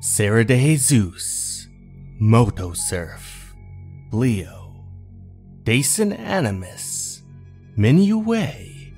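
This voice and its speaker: A man narrating a scripted horror story in a measured, theatrical, dramatic way. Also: medium pitch, sing-song, a little mellow. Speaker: narrator, channel host. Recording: music behind the voice.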